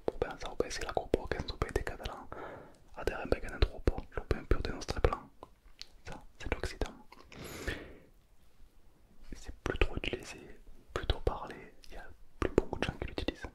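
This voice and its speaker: A man's voice: normal speed, pauses often. A man, giving a playful whispered ASMR lesson as a language teacher. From southern France. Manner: playful, joking asides.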